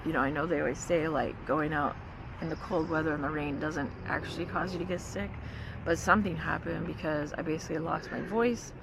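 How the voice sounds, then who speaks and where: A woman's voice: low-pitched, steady tone, brisk, vocal fry. A woman vlogger telling a story in a casual, chatty way, full of "like" and "you know". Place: forest campsite by a car. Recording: outdoor noise with vehicle hum.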